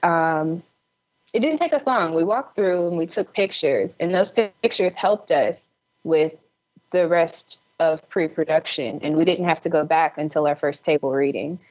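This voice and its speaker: A woman giving casual advice as a filmmaker guest in an interview, deliberate, with pauses between phrases. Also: deep voice, highs cut off.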